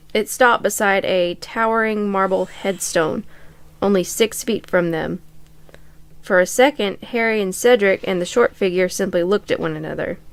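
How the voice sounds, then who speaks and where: A woman with a very clear, smooth voice at a medium pitch reads a story aloud as a podcast co-host. The sound is dry and close. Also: no room sound. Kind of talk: reading fan fiction aloud.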